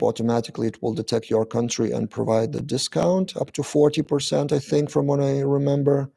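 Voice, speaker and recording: A man hosts a live-stream Q&A, reading viewers' questions aloud and answering them briefly. His voice is dark and gravelly, with frequent "uh" pauses between the questions and his answers. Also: mid-range voice. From Lithuania. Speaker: channel host answering live chat.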